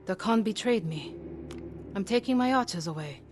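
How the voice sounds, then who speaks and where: A woman's voice: medium pitch, expressive, normal speed, faint music behind, natural voice. An actress playing a proud, cool renegade woman archer in a video game, with a dry, clipped, self-assured delivery. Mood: defiant. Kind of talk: dramatic video game acting.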